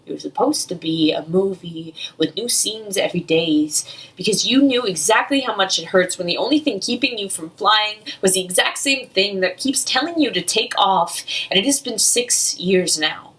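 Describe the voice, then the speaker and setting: A woman's voice: medium pitch, lively intonation, normal speed, a bit bright. A young woman recites her own spoken-word poetry in an earnest, emotive, rhythmic delivery. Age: young adult. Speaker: slam poet. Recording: distant, off-mic amateur recording.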